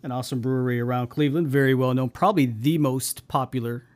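A man's voice: mid-range voice, fairly even intonation, fast. A man co-hosting a casual podcast, talkative and enthusiastic. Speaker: podcast co-host.

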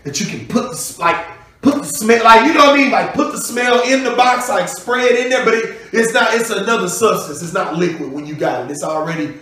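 A man with a stuffed-up nose in casual, slangy chat with friends, animated and quick to laugh and shout. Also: high voice. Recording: very echoey room.

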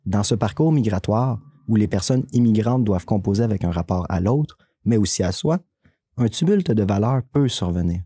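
Co-host, male, low, dark voice, deliberate academic reading, dry. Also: lively intonation, breathy edge, dry recording. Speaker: podcast co-host. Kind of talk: scripted academic narration.

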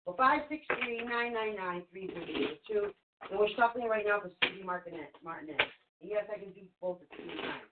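A woman's voice: mid-range voice, fairly quick, heavy background noise. A woman whose first language is Portuguese, hosting a live call-in psychic reading show in a reassuring, chatty way that repeats things for emphasis. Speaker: spirit reader and show host. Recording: phone-like narrow sound.

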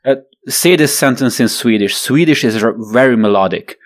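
A young man chatting casually, joking and wry, in an unhurried manner. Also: medium pitch, lively intonation. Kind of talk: casual chat.

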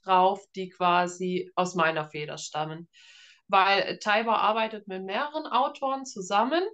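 A woman's voice: on the low side, fairly bright tone. A German woman in her thirties chatting casually and informally as an author being interviewed, with a self-deprecating touch. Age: 30s.

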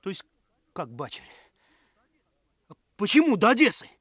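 A bookish young police chief in a radio drama. His voice sits fairly high and moves in lively swoops, eager, earnest and insistent. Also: moderate pace, full character voice. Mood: urgent, excited. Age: young adult. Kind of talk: radio play acting.

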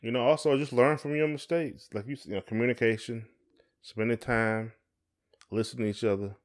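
A man's voice: medium pitch, fairly even intonation, a little fast. A man co-hosting a podcast in casual conversation, talking in a folksy way and often repeating his phrases. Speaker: podcast co-host.

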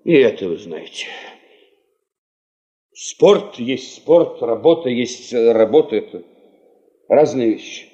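Stubborn geologist, very animated intonation. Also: male voice, medium pitch, slow, long pauses, muffled recording. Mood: cautious, matter-of-fact. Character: principled, sceptical male field geologist leading a party, stubborn, cautious. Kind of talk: Soviet radio drama acting.

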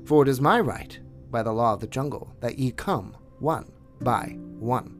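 A man's voice: medium pitch, measured pace, natural voice. A man voicing an old, weary male wolf who leads the pack, speaking with solemn dignity over faint music.

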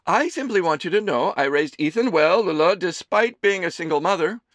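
A man voicing a doting, gossipy, middle-aged mother who runs a diner, loud and theatrical. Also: animated, normal speed, no room sound. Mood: teasing. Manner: loud, theatrical, high chirpy voice.

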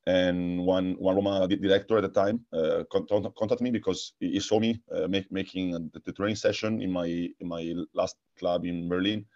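A man with an Italian accent, a guest answering interview questions, speaking haltingly with many repeated words and restarts. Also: low voice, steady tone, a little mellow, muffled recording. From Italy. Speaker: guest, futsal coach and technical director.

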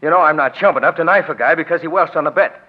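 A worried professional gambler in a 1940s radio crime drama. His husky voice moves quickly, urgent and hesitant as he pleads his case. The recording is old and muffled. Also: on the high side. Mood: pleading, afraid.

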